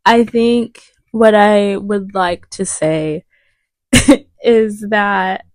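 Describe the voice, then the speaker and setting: A young woman chatting casually as a podcast co-host, her voice at an ordinary pitch for a woman. She gropes for words and lets her sentences trail off, with a lingering cough she keeps holding in. The sound is dry and close. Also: fairly even intonation, drawn-out words, no room sound, rough recording. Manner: hesitant, searching for words, trailing off. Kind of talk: casual chat.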